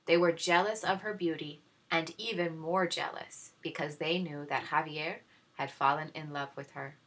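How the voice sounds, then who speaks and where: A woman reading a children's story aloud in expressive narration. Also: low-pitched, moderate pace, rough recording. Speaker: story reader.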